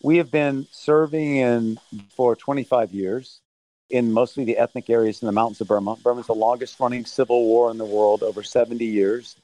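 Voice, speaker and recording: A middle-aged man who grew up in Thailand, answering interview questions remotely in an earnest, measured way as the leader of a relief mission. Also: medium pitch, steady tone, warm tone, no room sound, rough recording.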